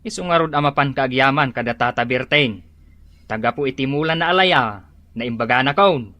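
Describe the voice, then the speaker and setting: An actor playing a nosy villager, questioning and teasing in a radio drama. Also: male voice, mid-range voice, normal speed, sharp tone, dry recording, natural voice. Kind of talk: Filipino radio drama acting.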